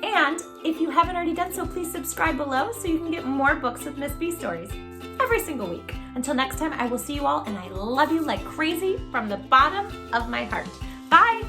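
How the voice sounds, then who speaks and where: A woman reading a children's picture book aloud as a storytime host, theatrically, with drawn-out words and little asides to the listener. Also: sits high, expressive, music in the background.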